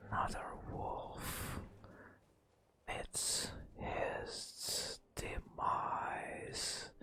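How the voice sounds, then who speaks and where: Man, ASMR game narrator, breathy whisper, extremely slow, long pauses, deliberate storytelling. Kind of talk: soft-spoken ASMR storytelling.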